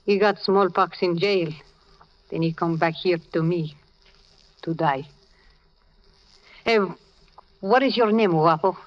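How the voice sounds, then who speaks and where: An actress playing a tough but generous, grieving Latin American woman with a Spanish accent, brisk and knowing, in 1940s radio drama style. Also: lowish voice. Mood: wistful. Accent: Spanish-accented English, Latin American. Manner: brisk, bossy, knowing, with simple foreign phrasing. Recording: dull, lo-fi old radio recording.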